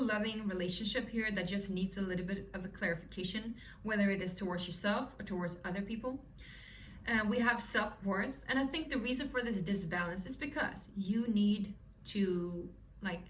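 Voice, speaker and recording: A woman's voice: medium pitch, a bit bright, recorded from a distance, a little hiss or hum, rough recording. A woman from Sweden with a Swedish accent, chatting casually through a tarot reading for her viewers and often asking "you know?" and "right?". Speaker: tarot reader.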